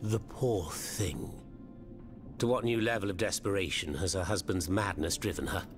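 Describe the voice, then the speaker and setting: An actor playing a mocking, zealous revolutionary in a video game, speaking in a flowery, sardonic manner. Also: male voice, deep voice, moderate pace, natural voice. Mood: curious, disdainful. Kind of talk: video game cutscene acting. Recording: game music behind the voice.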